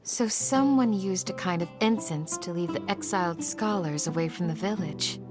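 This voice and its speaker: A woman's voice: fairly low pitch, moderate pace, close to an everyday voice. A woman voicing a composed, welcoming village guardian in a video game, polite in manner, with music behind. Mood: welcoming, attentive. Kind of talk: game character voice acting.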